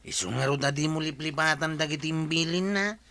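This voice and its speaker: A nagging younger Ilocano man in a radio comedy. His voice sits a bit high with a bright, sharp edge. He scolds and complains with whiny exasperation. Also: fairly quick. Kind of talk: comedy radio drama acting.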